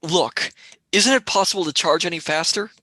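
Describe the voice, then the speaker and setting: A man's voice: high-pitched, normal speed, pushed and bright, dry recording. A man playing an agitated, impatient young space traveller in a sci-fi radio play, blunt and worried.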